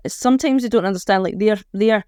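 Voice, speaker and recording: A woman's voice: mid-range voice, quick pace, a little mellow, smooth, dry recording, studio quality. A woman with a Scottish accent chatting informally as a charity organiser being interviewed. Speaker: charity organiser, podcast guest.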